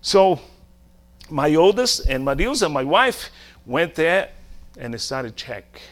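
A middle-aged Brazilian preacher delivers a sermon in a slightly high male voice. He takes his time, breaking his thoughts into short emphatic phrases and putting questions to his listeners in a Brazilian accent. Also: very animated, slow pace, fairly bright tone. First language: Portuguese.

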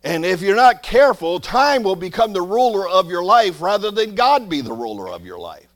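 Fervent high-voiced preacher in his sixties. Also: sing-song, moderate pace. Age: 60s. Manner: preaching with surging emphasis and quiet drops. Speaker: pastor. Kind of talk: sermon.

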